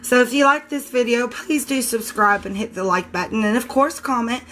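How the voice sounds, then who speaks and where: A woman's voice: fairly high pitch, moderate pace, fairly bright tone. A woman with a congested, scratchy voice chatting casually in her home kitchen, talking informally and correcting herself as she goes. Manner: chatty, informal, a bit unsure, self-correcting. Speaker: home soap maker. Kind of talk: casual show-and-tell vlog.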